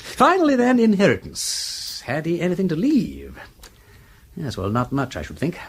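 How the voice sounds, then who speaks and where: An actor playing a breezy, gallant English aristocrat detective with an upper-class accent, foppish, chatty and self-deprecating, in British radio drama style. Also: male voice, on the high side, huge pitch swings, moderate pace, gravelly, breathy edge, heavily acted. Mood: breezy, musing. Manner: foppish, chatty, hesitant politeness.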